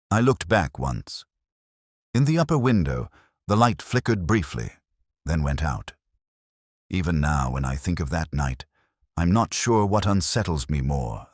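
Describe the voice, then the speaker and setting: An extremely deep male voice of an actor tells a detective story in the first person as a brooding police inspector. The delivery is solemn and literary, with measured phrasing and a widely moving melody. The sound is dry, in the manner of a reflective audio drama. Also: a little mellow, no room sound, heavily acted.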